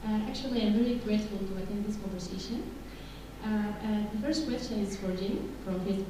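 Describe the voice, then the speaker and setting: A woman's voice: mid-range voice, quick pace, background noise. A woman from Sudan, asking a hesitant question from the floor. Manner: hesitant, filler sounds. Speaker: audience member asking a question. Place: large conference hall. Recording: echoey hall, mic far off.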